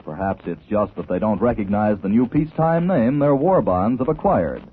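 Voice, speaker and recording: A man's voice: fairly low pitch, expressive, normal speed, slightly rough. An American man announcing in formal, emphatic 1940s scripted radio style. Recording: narrow-band 1940s radio recording, faint noise.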